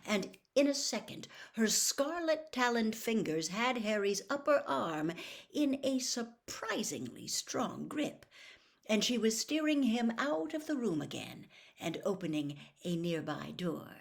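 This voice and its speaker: A woman storyteller reading a novel aloud in expressive audiobook-style narration. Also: fairly high pitch, unhurried, vocal fry.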